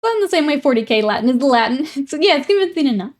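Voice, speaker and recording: A young woman with a somewhat high voice talks easily through a live stream. She thinks aloud while painting miniatures and reads out viewer comments in a relaxed, chatty way. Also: quick pace.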